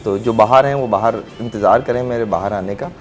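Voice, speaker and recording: A man with a sore, hoarse throat, a news colleague joking good-humoredly on a morning show. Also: fairly low pitch, fairly quick, over music. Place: TV studio.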